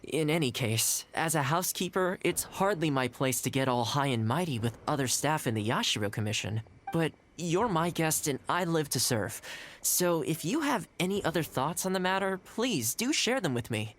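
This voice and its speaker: A man's voice: on the high side, lively intonation, moderate pace, fairly bright tone, slight background noise. A man playing an easygoing, polite young housekeeper in a video game, speaking courteously with a light playful touch. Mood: polite, gracious. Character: easygoing, polite young male housekeeper, good-natured and unflappable. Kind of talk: video game dialogue acting. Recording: game music behind the voice.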